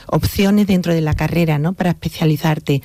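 A man's voice: fairly high pitch, steady tone, a little fast, mellow. A man interviewing a guest in an easy, encouraging way, often murmuring agreement. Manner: encouraging, with frequent backchannel sounds. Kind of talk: casual interview conversation.

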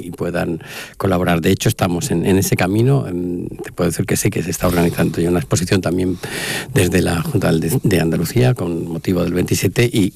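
A man's voice: low voice, vocal fry, darkish tone, husky, no echo. A man from Spain, a foundation director, answering in a radio interview in a hesitant way with many fillers and restarts.

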